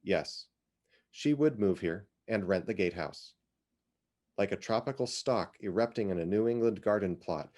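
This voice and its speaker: A man reads literary fiction aloud in a lowish voice. His phrasing is deliberate and dramatic, with very lively rises and falls in pitch.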